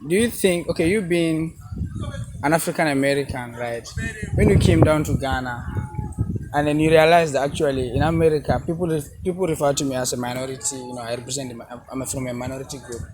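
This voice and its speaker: A man's voice: mid-range voice, darkish tone, a little hiss or hum, poor audio. A man hosting an interview, addressing viewers and asking questions with hesitant restarts, backing his guest with many "mm-hmm"s. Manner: encouraging, frequent "mm-hmm" and "wow", halting questions. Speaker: interviewer, host.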